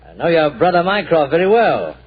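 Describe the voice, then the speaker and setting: A vain, effusive stage actor in 1940s radio acting, with a husky, slightly high voice. His speech is theatrical and gushing. The old broadcast sounds dull and muffled. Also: big pitch swings, a little fast.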